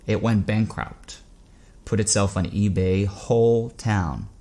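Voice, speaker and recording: An actor playing a curious, troubled driver in an audio drama, conversational, wondering and wary. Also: male voice, lowish voice, slow, warm tone. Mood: puzzled. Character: uneasy, questioning man driver, curious and troubled.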